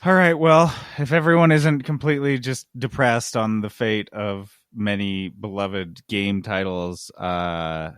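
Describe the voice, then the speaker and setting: A man's voice: fairly low pitch, steady tone, unhurried, few pauses, fairly bright tone, clean tone, dry recording, clean studio sound. A man hosting a podcast in a casual gaming news chat, talking in a wry, informal way. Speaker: podcast host.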